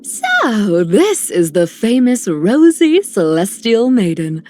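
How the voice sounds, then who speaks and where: A woman's voice: fairly high pitch, huge pitch swings, slow, a little mellow, full character voice. An actress playing a laid-back, playful young woman in a game, musing as she talks. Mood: curious, puzzled. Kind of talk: scripted game character lines.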